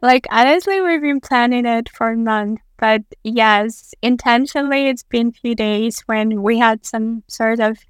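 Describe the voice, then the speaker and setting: A woman's voice: a little high, measured pace, hardly any pauses, smooth, no room sound. A young woman from Central Asia, speaking English as a third language, chatting casually as an interview guest. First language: not English, which is a third language. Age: young adult. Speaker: podcast guest.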